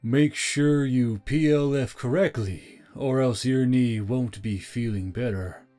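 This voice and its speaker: A man voicing a skeletal metal robot with a precise, formal, deadpan, gently teasing manner. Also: mid-range voice, slow pace, faint music behind, lightly acted. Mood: calm, deadpan.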